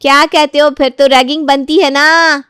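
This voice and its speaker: Mocking young college senior, played by a woman, high-pitched, bossy and taunting, dry sound, storybook drama. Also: steady tone, normal speed, a bit bright, dry recording. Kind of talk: Hindi moral story character acting.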